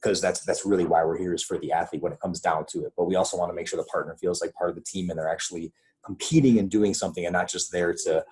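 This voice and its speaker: Low, mellow, husky man explaining guidelines. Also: fairly even intonation, fast. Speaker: sports program coordinator leading a coaches' conference call. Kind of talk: informal instructional presentation with questions.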